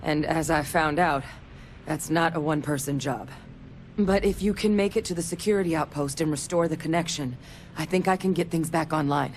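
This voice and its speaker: An actress in a video game playing a tough, terse female field operative who gives tactical orders in a businesslike, measured way. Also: deep voice, steady tone, slight background noise, natural voice.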